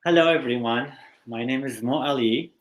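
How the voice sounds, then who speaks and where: A man's voice: medium pitch, moderate pace, poor audio. A man from Syria with a Syrian Arabic accent, co-hosting and reading a friendly introduction with small hesitations. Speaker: co-host. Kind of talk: scripted introduction.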